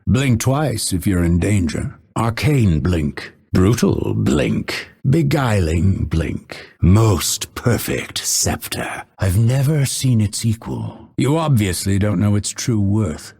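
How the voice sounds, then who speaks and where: Game assassin: hunted outcast, spiteful and sardonic, heavy gravel, swinging inflection, menacing. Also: male voice, on the low side, very animated, warm tone, full character voice. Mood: cold, spiteful, then terse, matter-of-fact. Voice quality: gravelly, husky. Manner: measured, menacing, dryly sardonic. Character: unveiled male assassin, hunted since birth, bitter, spiteful, coldly purposeful. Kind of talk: video game character voice lines.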